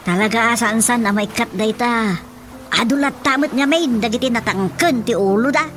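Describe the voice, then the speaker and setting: A woman's voice: fairly high pitch, moderate pace, a bit bright, close to an everyday voice. A woman acting a fretful, complaining village woman with an Ilocano accent in a radio drama comedy. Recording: music bed behind the voice.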